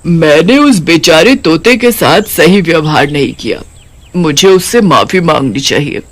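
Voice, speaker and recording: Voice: mid-range voice, lively intonation, a little slow. A performer voicing a hungry, blunt, boastful but secretly kind-hearted cartoon witch with brash, colloquial delivery. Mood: remorseful, caring. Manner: brash, taunting, colloquial scolding. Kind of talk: Hindi cartoon character voice.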